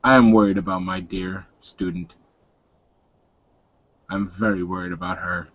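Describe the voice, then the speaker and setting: A man roleplaying a blunt, solemn werewolf mentor in a tabletop game, speaking frankly and with measured words. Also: low voice, steady tone, fairly bright tone. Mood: grave, resigned. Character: grave, blunt male werewolf mentor, clove smoker. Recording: dull, dry lo-fi voice recording.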